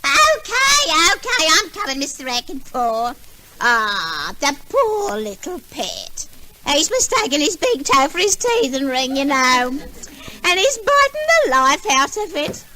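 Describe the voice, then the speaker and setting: An Australian actress playing a touchy, proud, dim working-class household helper in an old-time radio comedy, with ungrammatical speech and fussy cooing. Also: female voice, high-pitched, wildly swooping pitch, takes it slowly, pushed and bright, exaggerated voice. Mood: fussing, cooing. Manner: ungrammatical, indignant, cooing baby talk. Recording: noisy old radio recording.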